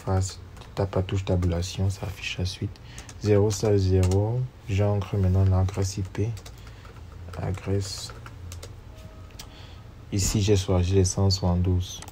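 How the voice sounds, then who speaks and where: Man, cold, deep voice, level delivery, screen-tutorial walkthrough. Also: flat delivery, measured pace, pauses often, dark tone, a little hiss or hum. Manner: steps through commands aloud, spelling out numbers. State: has a cold. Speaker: networking instructor.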